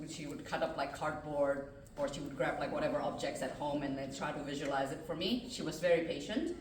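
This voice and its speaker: A woman's voice: deep voice, slight background noise. Echoey Indonesian-accented woman on a panel. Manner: earnest and chatty, with many fillers. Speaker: mathematics professor on a panel. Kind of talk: personal storytelling on a panel. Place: festival panel room. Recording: echoey room, distant mic.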